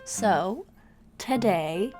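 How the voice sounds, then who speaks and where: A woman's voice: mid-range voice, animated, drawn-out words, a little mellow, soft background music. A young woman with a congested, sore-throated voice, chatting casually in a voice-over about her game at a home mic. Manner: chatty, rambling, tired. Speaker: gaming YouTuber. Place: home room. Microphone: desk mic, recorded at her chair.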